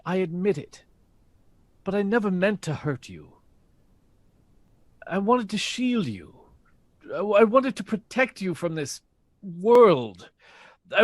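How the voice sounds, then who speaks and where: A man's voice: high voice, very animated, takes it slowly, pauses often, no echo, exaggerated voice. A man acting in an audio drama as a proud, pleading 1960s big band leader, earnest and impassioned in manner.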